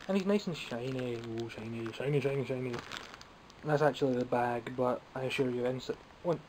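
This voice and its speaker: A man's voice: medium pitch, moderate pace, background noise. A young Scottish man chatting casually and wryly about his toy haul from behind the camera, a bit phlegmy and coughing now and then. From Scotland. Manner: wry, rambling and self-mocking. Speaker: toy collector vlogger. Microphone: camera mic, speaking from behind the camera.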